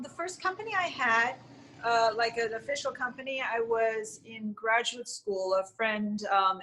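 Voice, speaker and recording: A woman answering interview questions in a relaxed, candid way. She is self-deprecating and often starts sentences with um or uh. Her pitch sits in the ordinary middle range for a woman. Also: poor audio. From United States. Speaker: interview guest, entrepreneur.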